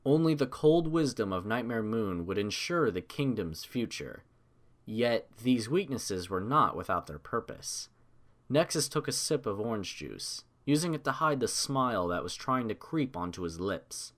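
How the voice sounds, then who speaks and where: A man's voice: mid-range voice, sing-song, moderate pace, a bit bright. A man narrating a scripted fantasy story in a dramatic audiobook style.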